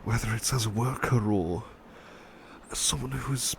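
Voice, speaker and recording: A man playing a devoted, humble butler in an ASMR roleplay, speaking softly and tenderly with halting, repeated words. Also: medium pitch, vocal fry, a little hiss or hum, lightly acted. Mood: earnest, tender. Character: devoted, gentle, humble male butler sweetheart. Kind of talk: ASMR roleplay, personal attention.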